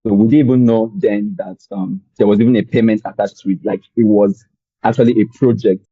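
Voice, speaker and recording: A young man from Nigeria with a Nigerian accent, telling his story as a podcast guest in a relaxed, rambling way with many fillers. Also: lowish voice, fairly quick, slightly rough, no room sound, phone-quality sound. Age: young adult. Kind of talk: conversational storytelling.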